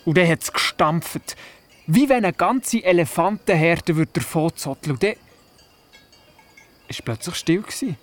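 A man's voice: a little high, expressive, measured pace, pauses often. A man with a Swiss German accent playing a young, curious boy who narrates and talks in a radio play. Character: young boy on holiday at his grandmother's, curious.

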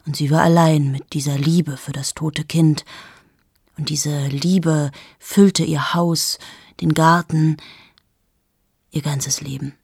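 A woman's voice: steady tone, takes it slowly, long pauses, a little mellow, dry recording, clean studio sound, full character voice. An actress in her thirties playing a cold, cynical journalist in a radio play monologue, confiding and often dropping to a bitter whisper. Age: 30s. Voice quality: husky, gravelly low voice.

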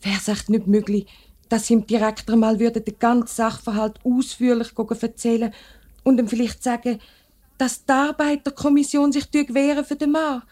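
An actress with a Swiss German accent playing a worried worker's wife in a 1950s radio drama, polite, modest and earnest. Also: female voice, a little high, little pitch movement, moderate pace, dark tone, close to an everyday voice. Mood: worried, pleading.

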